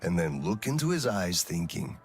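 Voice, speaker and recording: An actor playing a weary, conscientious middle-aged colonel in a game drama, speaking in a reflective, measured and slightly hesitant way. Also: male voice, low voice, sing-song, slight background noise. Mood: troubled, pensive. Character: weary, conscientious male colonel and lone father. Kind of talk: dramatic video game cutscene acting.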